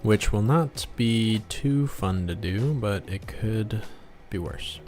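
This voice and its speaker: A man streaming as a game developer and artist, chatting casually and muttering in a low-key way as he works. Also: animated, slow pace, a little mellow, faint music behind. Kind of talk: casual livestream chat while drawing.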